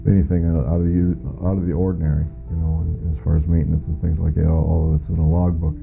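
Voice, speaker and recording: Middle-aged American man, deep voice, creaky fry, matter-of-fact interview testimony, muffled lo-fi recording. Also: little pitch movement, fast talker, faint music behind. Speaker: former air traffic controller giving witness testimony. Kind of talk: recounting first-hand testimony in an interview. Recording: muffled lo-fi audio.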